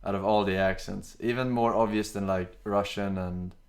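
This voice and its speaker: A man's voice: fairly low pitch, moderate pace. A young man, streaming and chatting casually, rambling and reading out chat messages. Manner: relaxed, rambling, with fillers and some swearing.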